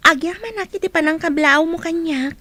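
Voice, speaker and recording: A woman playing a sweet, modest teenage daughter in an audio drama, speaking in a gentle, polite way. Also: extremely high pitch, moderate pace.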